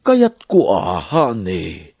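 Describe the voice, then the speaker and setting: Man acting slow, low Ilocano husband. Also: wildly swooping pitch, vocal fry, darkish tone, slightly rough, no room sound, studio quality, exaggerated voice. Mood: hesitant. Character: devoted, hesitant husband longing for a child. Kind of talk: Ilocano radio-style drama acting.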